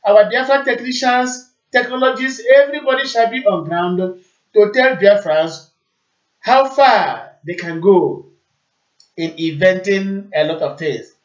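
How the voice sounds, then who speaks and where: Male Igbo Nigerian talk host, high voice, formal announcing delivery, heard through a distant, muffled stream. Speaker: radio call-in show host. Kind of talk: live broadcast announcing and answering callers. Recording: echoey, distant, muffled internet radio stream.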